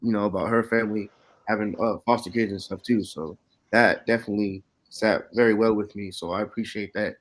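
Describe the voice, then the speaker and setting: Guy late 20s, artist at a talk, lowish voice, casual and kinda hesitant. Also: steady tone, poor audio. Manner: hesitant, halting, repeats "you know". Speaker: artist in residence on a panel.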